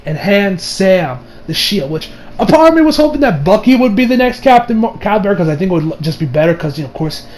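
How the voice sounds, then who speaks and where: A young man giving a casual, rambling movie review as an excitable, slangy fan who trails off into asides. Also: high voice, fast talker, hardly any pauses, slight background noise. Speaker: video reviewer. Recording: music behind the voice. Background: music.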